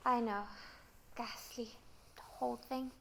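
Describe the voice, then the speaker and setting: A serious lady doctor acted by a woman with a high voice and an anxious temper, delivered as student theatre. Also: steady tone, takes it slowly, vocal fry, a little hiss or hum. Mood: anxious, grave. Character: serious, anxious female doctor stuck on island. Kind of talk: student stage play acting.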